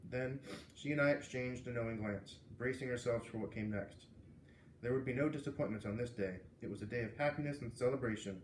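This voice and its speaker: A man narrating a children's book aloud in an expressive storytelling style. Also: mid-range voice, brisk, roomy sound, slight background noise, low-quality recording.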